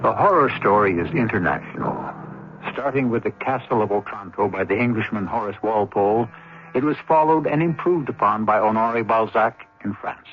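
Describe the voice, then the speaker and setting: A man hosting a mystery radio program, speaking in a measured, formal and dramatic scripted style. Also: lowish voice, slight background noise. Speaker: host. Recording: dull old radio recording, music behind.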